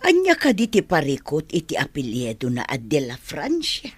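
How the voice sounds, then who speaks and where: An actress playing a kindly, concerned middle-aged mother with a husky voice in an Ilocano radio drama. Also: low-pitched, huge pitch swings, normal speed, no echo, full character voice. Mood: concerned, reassuring.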